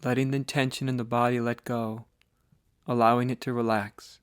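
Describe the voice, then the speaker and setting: An American man leading a guided meditation as a spiritual teacher, speaking in a measured, deliberate way with pauses between short phrases. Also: medium pitch, flat delivery, clean tone, no echo, clean studio sound. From United States.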